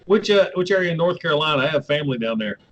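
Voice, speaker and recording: A man from West Virginia hosts a relaxed chat show, his accent plain in every line. He sounds easygoing and folksy, laughing often, with a voice that sits a little higher than most men's. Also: racing, dull audio. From West Virginia, USA. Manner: laid-back, laughs easily, folksy. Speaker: YouTube show host. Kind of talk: casual interview chat.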